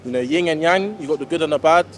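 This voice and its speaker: A man's voice: on the high side, fast talker, a little hiss or hum. A young man in a street interview, talking casually with slang. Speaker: member of the public.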